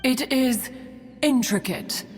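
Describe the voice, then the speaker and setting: A woman's voice: high-pitched, slow pace, a little mellow. A woman voicing an aloof elder female crane spirit in a game, speaking in a pompous, archaic way. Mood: haughty. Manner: pompous, archaic, calls herself "one". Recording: reverberant, with game music.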